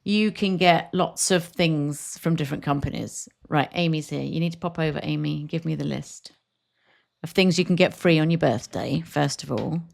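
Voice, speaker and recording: A woman's voice: sits low, normal speed, creaky, a little mellow. A woman co-hosting a podcast, chatting casually and rambling, laughing easily. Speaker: podcast co-host. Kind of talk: casual chat.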